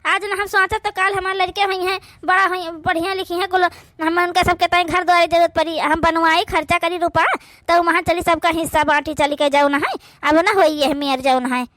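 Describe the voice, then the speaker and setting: A high, dry voice with an Awadhi accent carries this young village housewife acted by a woman. She is a wary, indignant cartoon sister-in-law, complaining bluntly and plainly. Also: steady tone, a little fast, a bit bright, no room sound. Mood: aggrieved.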